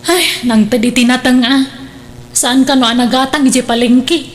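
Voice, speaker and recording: A woman's voice: high-pitched, fairly even intonation, normal speed, close to an everyday voice. A woman playing a scolding, pessimistic older sister in a radio drama, with a disapproving manner. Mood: dismissive.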